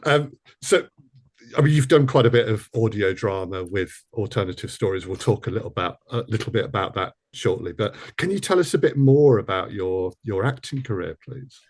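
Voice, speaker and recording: A man's voice: lowish voice, fairly quick, a little mellow, slightly rough. A man hosting a casual interview in a hesitant, wry conversational manner. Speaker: interviewer, audio drama producer.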